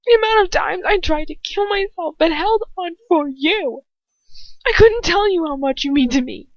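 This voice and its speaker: A woman's voice: very high-pitched, animated, normal speed, dry recording, dull audio, pitched above the performer's own voice. A woman voicing a guilt-ridden young woman, pleading passionately and tearfully in a story reading. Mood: desperate, tearful.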